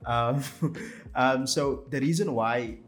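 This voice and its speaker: A man's voice: mid-range voice, slow, a bit bright, faint music behind. A young South African man with a Cape Town accent, a podcast guest telling his life story in a casual, storytelling way, with many fillers and restarts. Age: young adult.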